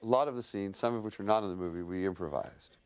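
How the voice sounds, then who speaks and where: Lowish voiced guy, earnest and thoughtful, looking back. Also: quick pace, clear, resonant tone. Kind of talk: retrospective interview.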